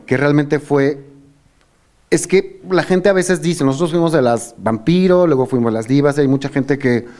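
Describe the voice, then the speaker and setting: A man's voice: on the high side, moderate pace, darkish tone. A middle-aged man with a Mexican Spanish accent, hosting and telling stories in a casual, reminiscing chat. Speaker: host.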